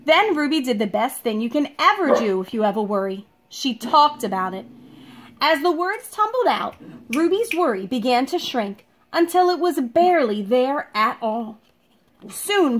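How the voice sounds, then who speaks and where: A woman teacher speaking to young children in an expressive, deliberate read-aloud style, recorded at home in a living room. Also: high voice, a little hiss or hum. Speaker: elementary school teacher reading a picture book aloud.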